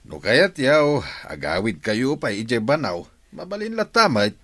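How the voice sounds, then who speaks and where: An actor playing a kindly older uncle and garden boss in an Ilocano radio drama, with an Ilocano accent. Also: male voice, medium pitch, sing-song, measured pace, a bit bright, no echo. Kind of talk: Filipino radio drama acting.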